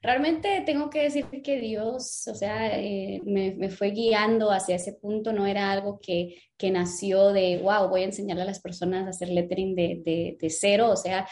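A woman's voice: medium pitch, steady tone, normal speed, few pauses. Woman telling her story with many fillers. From Guatemala. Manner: warm faith-filled storytelling with frequent "eh" fillers. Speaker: interview guest, lettering teacher and business owner. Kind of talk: casual interview conversation.

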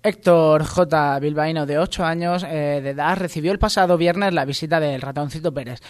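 A man with a Spanish accent from Spain co-hosts a comedy radio news segment. His voice sits a little high. He mixes newsreader phrasing with informal, irreverent banter. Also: moderate pace, clear, resonant tone. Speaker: radio co-host. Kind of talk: comic news reading with banter.

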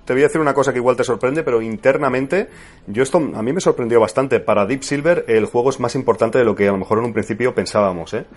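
A man's voice: medium pitch, soft background music. A man guesting on a podcast, eager and chatty, piling on asides. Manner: eager, talks fast, adds asides. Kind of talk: casual podcast chat.

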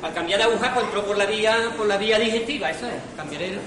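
A man's voice: high voice, racing, natural voice. A man playing a nervous, bumbling impostor fiancé in an amateur stage farce, stammering and repeating himself. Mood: flustered. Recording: distant echoey hall, noisy audience.

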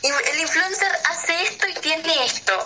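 A woman's voice: very high voice, normal speed, very noisy. A young woman co-hosting a radio show, giving opinions in casual, slangy chat. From Argentina.

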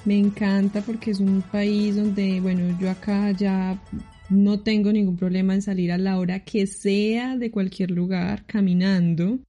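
Chatty Colombian woman, mellow voice. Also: mid-range voice, flat delivery, unhurried, muffled recording, soft background music. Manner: chatty, laughing, many restarts. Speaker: guest living abroad. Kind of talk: casual interview answers.

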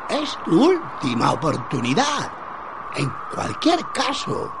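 Radio parody role: cheerful condemned philosopher acted out by a performer, goading, wildly swooping pitch, slow theatrical phrasing, noisy recording. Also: gravelly, exaggerated voice.

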